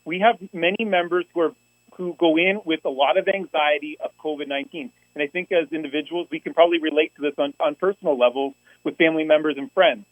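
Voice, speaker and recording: A man's voice: a little high, fairly even intonation, fast, no room sound, narrow, tinny audio. A man speaking earnestly at a public school board meeting, often restarting his phrases. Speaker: union representative presenting to a school board.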